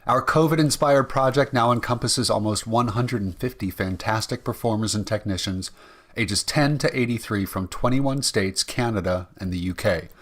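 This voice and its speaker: A man working as an announcer, reading a scripted introduction in radio-show style. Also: lowish voice.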